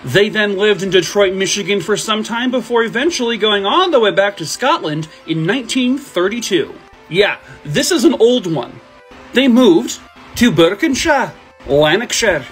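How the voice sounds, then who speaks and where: A man's voice: high-pitched, lively intonation, normal speed, faint music behind. A man in his thirties recording himself on a phone indoors, telling true crime cases casually and breaking off into sarcastic jokes. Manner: sarcastic jokey asides. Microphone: phone at arm's length.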